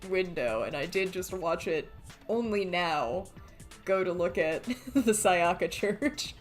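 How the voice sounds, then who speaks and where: A woman's voice: fairly low pitch, lively intonation, slow, faint music behind. A woman streaming a game, chatting casually as she plays. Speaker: streamer playing a visual novel. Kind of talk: casual chat while gaming.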